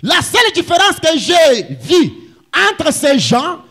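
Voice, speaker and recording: A Congolese male pastor delivers a fervent sermon in a very high, animated voice. He declaims in short, emphatic phrases with a forceful, sharp edge, leaving gaps for an interpreter. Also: takes it slowly. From Kasai, DR Congo. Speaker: pastor preaching.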